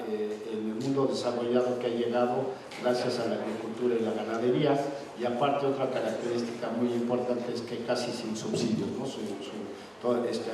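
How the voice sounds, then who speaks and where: A man's voice: mid-range voice, far from the mic, noisy background. Man introducing a speaker, echoey hall. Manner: filler-heavy, repeating words. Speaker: event host introducing a speaker. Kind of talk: spoken introduction. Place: large conference hall.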